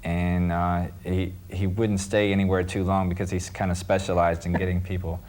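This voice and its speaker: A man with a very deep voice speaks as a panelist. He explains in a casual, halting manner and often repeats a word before going on. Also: level tone, a little mellow. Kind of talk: casual informational discussion.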